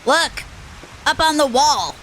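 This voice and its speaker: High, lively woman streaming a game. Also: slow, slight background noise, rough recording. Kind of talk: casual playthrough commentary.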